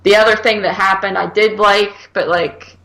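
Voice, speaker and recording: A woman's voice: mid-range voice, fairly even intonation, normal speed, sharp tone, low-quality recording. A woman co-hosting a film review podcast, chatting casually and argumentatively in blunt, slangy speech peppered with "like.". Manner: blunt, exasperated, slangy, repeatedly hedging with "like".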